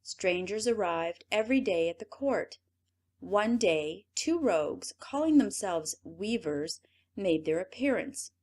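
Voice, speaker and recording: Native english lady reading a fairy tale aloud, really lively melodic voice. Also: medium pitch. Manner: expressive, measured reading. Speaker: audiobook narrator. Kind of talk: storybook narration.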